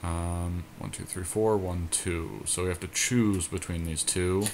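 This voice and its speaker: Male gaming co-host, deep voice, deliberating move by move in short casual fragments, light background noise. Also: steady tone, unhurried, vocal fry, darkish tone. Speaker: podcast co-host. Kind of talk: casual game commentary, thinking aloud.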